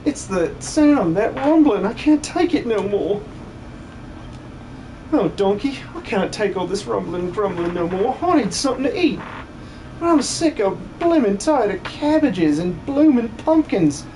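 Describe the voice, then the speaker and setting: A man's voice: high voice, sing-song, unhurried, warm tone, slight background noise, low-quality recording. A man voicing a hungry, complaining farmhand with rustic, colloquial speech in a hobby game dub. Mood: desperate whining. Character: famished, whining country farmhand sick of vegetables.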